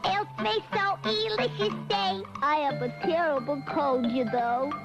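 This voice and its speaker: A woman's voice: high-pitched, a little slow. A woman voicing a cheeky small child with a cold in a vintage cartoon cereal commercial. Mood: cheeky, matter-of-fact. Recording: old advert with loud music.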